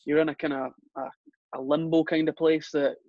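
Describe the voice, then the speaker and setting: Young Scottish guest, even delivery, dry lo-fi sound. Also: male voice, on the high side, brisk, no room sound. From Scotland. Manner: relaxed, with frequent fillers and restarts. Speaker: guest, soccer coach. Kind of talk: casual interview chat. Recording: dull, lo-fi sound.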